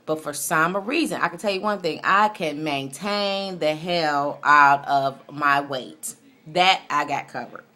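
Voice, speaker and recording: Woman, late 30s, low pitch, casual vlog chat, candid, rambling, self-reflective. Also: a little slow, pushed and bright. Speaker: video blogger.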